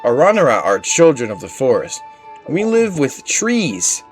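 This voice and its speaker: A man voicing a small, gentle forest spirit from a game, earnest and solemn, in simple broken phrasing. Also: mid-range voice, wildly swooping pitch, unhurried, soft background music.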